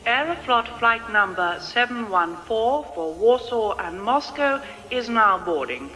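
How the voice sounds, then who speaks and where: An actress playing a formal, impersonal airport announcer calling boarding in a radio drama. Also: female voice, fairly high pitch, expressive, a little slow, pushed and bright. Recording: reverberant tannoy, faint music behind.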